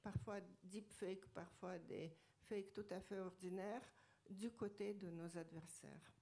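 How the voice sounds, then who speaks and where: Mellow-voiced woman interpreting haltingly. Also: medium pitch, fairly even intonation, gravelly. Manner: halting, many fillers. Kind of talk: consecutive interpreting.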